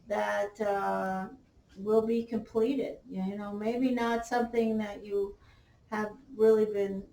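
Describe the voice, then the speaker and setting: A woman doing a tarot reading, losing her voice a little, who talks slowly with many fillers and pauses. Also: fairly high pitch, darkish tone, distant mic, a little hiss or hum. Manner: slow, halting, many fillers. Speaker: tarot reader. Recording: roomy, off-mic, faint ambience.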